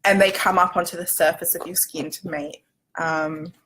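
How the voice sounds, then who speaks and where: Bright-voiced Australian woman chatting informally. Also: fairly low pitch, fairly even intonation, normal speed, breathy edge, rough recording. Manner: chatty, loosely structured, casual. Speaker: company representative hosting a livestream Q&A. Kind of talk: informal livestream explainer.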